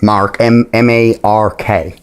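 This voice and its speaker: A man's voice: fairly low pitch, level tone, slow pace, clean tone. Man acting a stammering, sheepish middle-aged caller. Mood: rambling, sheepish. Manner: stammering, hesitant, repeats words, overly polite. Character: sheepish rambling middle-aged drinker, politely apologetic and confused. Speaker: prank caller. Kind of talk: improvised phone prank call.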